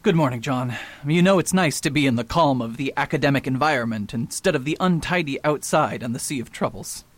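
A man's voice: mid-range voice, animated, brisk, hardly any pauses, no room sound, lightly acted. A man playing a proud, pompous detective and academic in a radio drama, with precise, wordy delivery. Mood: puzzled, indignant.

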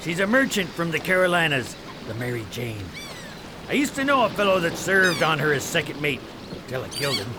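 An actor playing a hardened, wry pirate quartermaster who rallies the crew in a radio drama. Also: male voice, on the high side, sing-song, brisk, noisy background.

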